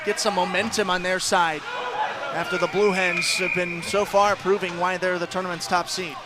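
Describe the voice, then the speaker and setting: A man's voice: high-pitched, level tone, moderate pace, very noisy. A man calling live ice hockey on the radio as play-by-play announcer, in flowing descriptive calls that build on big plays.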